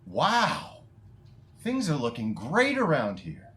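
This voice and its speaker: A man's voice: a little high, wildly swooping pitch, takes it slowly, fairly bright tone, reverberant, full character voice. A man acting a chummy, playfully needling realtor friend in a romantic comedy radio play.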